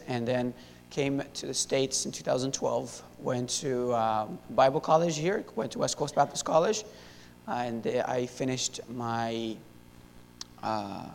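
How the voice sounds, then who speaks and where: Young guy, missionary testimony, gravelly, lots of uhs, echoey church hall w faint rumble. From Syria. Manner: halting, many fillers and restarts. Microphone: handheld wireless mic.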